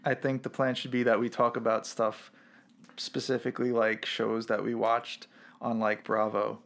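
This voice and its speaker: Young man, gravelly voice, eager, interrupts, podcast co-host. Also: mid-range voice, flat delivery, moderate pace, a bit bright. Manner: eager, jumps in and talks over. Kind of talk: casual chat. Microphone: podcast microphone.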